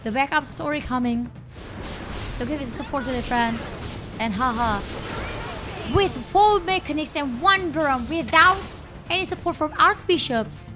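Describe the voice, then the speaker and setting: A woman with an Indonesian accent commentates a game live, chatting playfully and informally with viewers and often repeating her phrases. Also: sits high. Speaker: esports livestream caster. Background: music.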